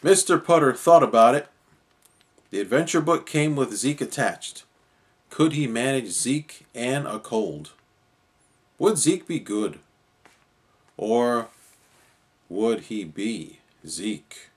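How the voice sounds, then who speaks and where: A man's voice: medium pitch, lively intonation, slow pace, pauses often. A man narrating a children's story expressively as a storyteller.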